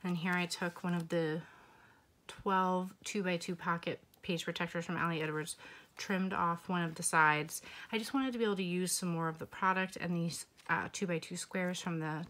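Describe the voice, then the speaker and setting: Young woman kinda hoarse, lowish voice, chatty laid back walkthrough, little whispery asides. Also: moderate pace. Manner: relaxed, chatty, with fillers and occasional whispered asides. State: slightly hoarse, losing her voice. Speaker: scrapbooking vlogger. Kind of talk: casual walkthrough narration.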